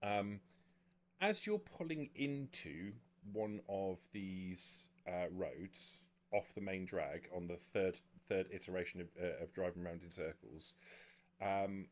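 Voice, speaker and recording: A man running a tabletop game as game master, improvising narration in a halting way with repeated words. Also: sits low. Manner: halting, frequent stammered word repeats.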